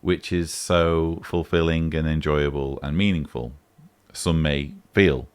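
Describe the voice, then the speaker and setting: A man's voice: a bass voice, steady tone, unhurried, clear, resonant tone. A man hosting a vlog, answering a voicemail in emphatic, argumentative casual commentary. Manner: emphatic, argumentative, rising sharply on key points.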